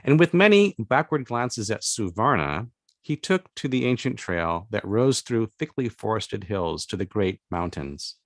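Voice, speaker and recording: A man reading his own literary fantasy novel aloud as narration, with measured, deliberate phrasing and pauses. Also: on the low side, expressive, dry recording, clean studio sound. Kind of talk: literary audiobook-style narration of a fantasy tale.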